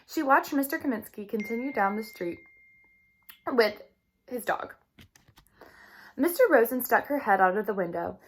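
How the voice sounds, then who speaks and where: A woman hosts a read-aloud for children. Her voice is fairly high and very animated, and she reads in an expressive storytime style. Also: moderate pace, long pauses, husky. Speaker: storytime reader.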